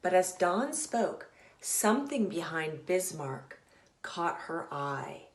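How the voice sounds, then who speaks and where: A woman author reading a children's story aloud in a deliberate, teacherly, emphatic way. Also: on the low side, big pitch swings. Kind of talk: expressive children's storybook narration. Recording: echoey room.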